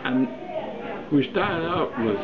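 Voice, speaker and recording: A man speaks very slowly and haltingly in a casual interview, pausing often. The recording is tinny and echoey, with a loud crowd behind him. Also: fairly high pitch, animated. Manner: hesitant, halting with long pauses. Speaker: amateur actor being interviewed.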